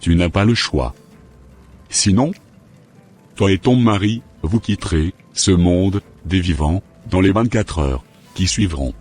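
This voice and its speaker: A menacing occult healer played by a man in a homemade cartoon. The voice is very low and rough-edged, giving orders with deliberate, domineering authority. Soft music plays behind it. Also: full character voice. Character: menacing, domineering male spiritual master, a sinister occult healer.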